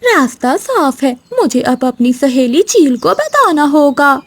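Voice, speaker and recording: A woman's voice: sits high, sing-song, a little slow. A woman voicing a spiteful, scheming female crow neighbour in a cartoon, scolding and conniving. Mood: sly.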